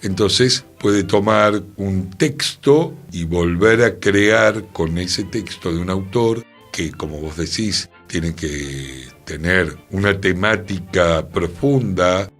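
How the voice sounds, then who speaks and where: A man with a Rioplatense Argentine accent in a reflective radio discussion, speaking in measured, emphatic phrases that trail off at the ends. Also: deep voice, faint music behind. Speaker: radio talk participant. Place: radio studio.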